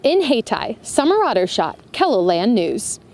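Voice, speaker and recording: A woman's voice: fairly high pitch, sing-song, normal speed, darkish tone. An American woman narrating a scripted television news report.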